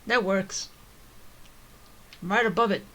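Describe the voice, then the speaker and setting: A woman's voice: mid-range voice, background noise. A woman giving casual playthrough commentary as a game streamer, musing to herself as she goes. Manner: musing to self. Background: game music.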